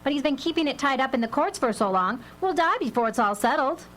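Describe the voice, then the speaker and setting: A woman's voice: high-pitched, animated, quick pace, fairly bright tone. A woman playing a blunt, suspicious middle-aged wife in a live radio drama. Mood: bitter, indignant. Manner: blunt, indignant. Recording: live broadcast with faint noise.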